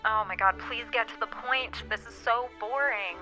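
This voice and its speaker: A woman voicing a brisk, impatient, sassy research sidekick in a video game, casual and blunt. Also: on the high side, pushed and bright. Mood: impatient, teasing. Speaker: voice actor. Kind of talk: scripted point-and-click game dialogue. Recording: music under the dialogue. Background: background music.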